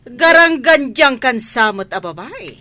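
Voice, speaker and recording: A nosy madam of the house played by a woman in a radio comedy drama. Her voice swings widely in pitch as she interrogates and scolds with suspicion. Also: animated, a little slow, bright, forceful tone, full character voice. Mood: suspicious, scolding. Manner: interrogating, exclaiming, emphatic.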